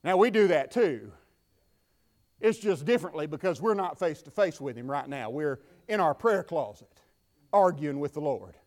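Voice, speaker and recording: A man with a Southern US accent preaching a sermon from the pulpit, building to fervent shouts and dropping to quiet talk. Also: fairly high pitch, lively intonation, moderate pace. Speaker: pastor. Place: church.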